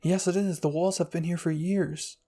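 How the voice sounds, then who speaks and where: Man voicing a stubborn, husky young boy. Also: fairly high pitch, steady tone, normal speed, mellow. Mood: argumentative. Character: stubborn, excitable young boy, bold and loyal.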